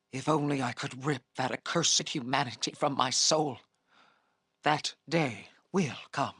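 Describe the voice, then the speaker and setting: Husky, imperious half-dragon commander. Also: female voice, very deep voice, a bit bright, no echo, studio quality, exaggerated voice. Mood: bitter. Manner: imperious, scornful, formal. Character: proud, menacing half-dragon female army commander. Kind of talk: scripted fantasy video game acting.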